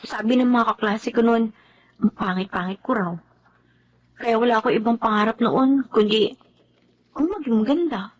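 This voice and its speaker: A woman's voice: on the high side, moderate pace, pauses often, muffled recording, natural voice. An actress playing a young woman trying to become less selfish, speaking earnestly and reflectively. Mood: hurt. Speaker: lead character in a radio drama. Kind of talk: radio drama acting. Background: music score.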